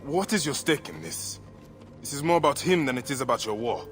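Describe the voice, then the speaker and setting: An actor playing a brooding, vengeful Egyptian protector and grieving father in a video game, speaking in a solemn, measured way. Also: mid-range voice, animated, close to an everyday voice. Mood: businesslike. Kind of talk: video game dramatic acting. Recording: music under the voice.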